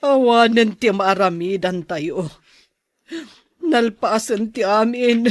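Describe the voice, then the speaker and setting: A woman's voice: medium pitch, takes it slowly, dry recording, clean studio sound, lightly acted. An actress in a radio drama playing a despairing woman trapped in a bad life.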